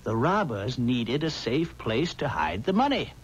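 Dull vintage radio sound, keen amateur sleuth, American, emphatic, lively swinging pitch. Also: male voice, unhurried. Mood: excited, persuasive. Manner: emphatic, explanatory, rhetorical questions. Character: eager, stubborn amateur sleuth convinced of his theory. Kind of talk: 1970s radio drama acting. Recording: noisy, muffled 1970s radio recording.

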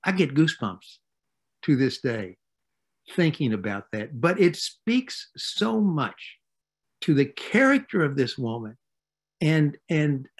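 An older man in his seventies giving reflective, halting remarks with weighty pauses over a video call. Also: on the high side, slightly rough. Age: 70s. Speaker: retired university president, guest speaker. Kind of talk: reflective remarks in a panel tribute. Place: home study with bookshelves, indoors. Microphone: video call computer microphone.

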